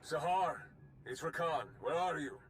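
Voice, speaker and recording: A man's voice: a little high, measured pace, slight background noise, natural voice, low-quality recording. An actor playing an urgent, insistent male Jedi in a video game. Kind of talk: video game cutscene acting.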